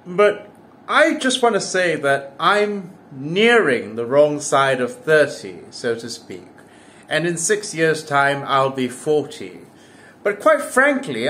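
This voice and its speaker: A man's voice: mid-range voice, fairly bright tone. A man, talking to camera in a casual vlog monologue, full of wry, self-mocking jokes. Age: 30s. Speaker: political commentary vlogger.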